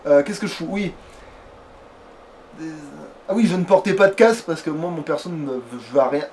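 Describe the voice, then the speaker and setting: High-voiced man doing casual game commentary. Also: animated, brisk, darkish tone, slight background noise. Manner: rambling, playful, mildly foul-mouthed. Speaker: let's player, playing and hosting the video. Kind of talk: casual let's play commentary.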